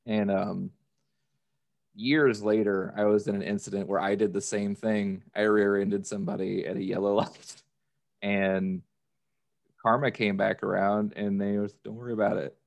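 Guy in his 30s chatting on a podcast, low voice w lots of fry. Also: little pitch movement, moderate pace. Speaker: podcast guest. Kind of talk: casual chat. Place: plain indoor room, video call. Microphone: desk microphone with headphones on.

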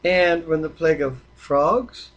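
A man reading the Bible aloud and discussing it informally, with a halting delivery that stumbles over words. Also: fairly high pitch, highs cut off. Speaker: Bible reader.

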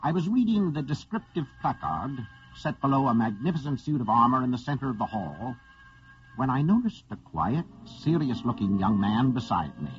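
An urbane gentleman storyteller played by a man from an old radio drama. His delivery is literary and measured yet very expressive, with faint music behind and a dull vintage sound. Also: medium pitch, close to an everyday voice. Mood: reflective. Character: urbane, courteous storyteller recalling a strange meeting. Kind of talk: scripted narration, old-time radio acting.

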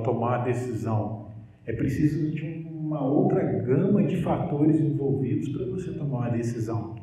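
A man's voice: on the high side, a little hiss or hum. A middle-aged Brazilian man with a Brazilian Portuguese accent gives a conversational university lecture on stage, often tagging sentences with "né?" and "tá?". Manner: conversational, animated, frequent "né?" and "tá?" tags. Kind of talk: lecture to a live audience. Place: large university auditorium stage. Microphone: handheld mic, recorded by a far camera. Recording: roomy auditorium reverb, distant camera.

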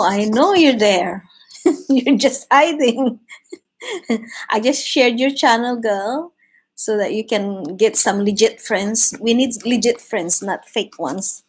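A woman's voice: medium pitch, husky. A young Filipino woman with a Filipino accent hosting a live drawing stream from her living room, chatting loosely in English and Tagalog, reading chat messages aloud and laughing often. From Philippines. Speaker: livestream host. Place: home living room, indoors.

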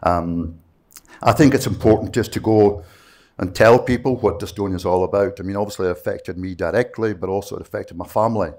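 A man's voice: lowish voice, brisk, a little mellow. A middle-aged Scottish man, a native Gaelic speaker, talking earnestly on a panel with many fillers into a handheld mic in a conference room. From Scottish Western Isles. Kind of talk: panel discussion talk.

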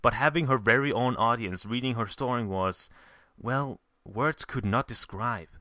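A man narrating a story from a script in a measured, theatrical way. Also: mid-range voice, sharp tone, dry recording, clean studio sound. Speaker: fan fiction reader, narrator.

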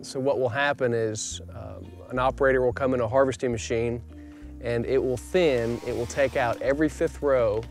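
A man's voice: medium pitch, steady tone, moderate pace, faint music behind. Enthusiastic man explaining a forest outdoors. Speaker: wildlife biologist.

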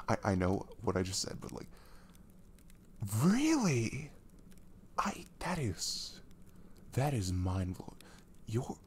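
A man playing an affectionate, dorky young boyfriend in an ASMR roleplay, speaking softly and breathily, often near a whisper, in a halting, tender way. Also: on the low side, slight background noise, full character voice. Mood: stunned.

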